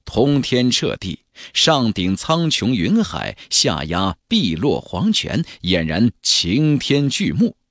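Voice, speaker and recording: A man narrating a fantasy audiobook in a measured, theatrical storytelling delivery. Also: mid-range voice, big pitch swings, fairly bright tone, no echo, clean studio sound. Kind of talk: dramatic scripted narration of a fantasy novel.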